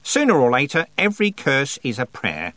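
Storybook goddess: skinny tired woman, wry and casual, high lilting delivery, performed by a male reader. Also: huge pitch swings, normal speed, a bit bright, dry recording. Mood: offhand, wry. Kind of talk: audiobook character voice.

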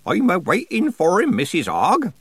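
Stubborn, cheerful old countryman, played by a male narrator, rustic dialect, high-lifted swooping storybook voice. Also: huge pitch swings, normal speed, breathy edge, no echo, heavily acted. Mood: cheerful defiance. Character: cheerful, stubborn old bedridden cottager man. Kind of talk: audiobook character dialogue.

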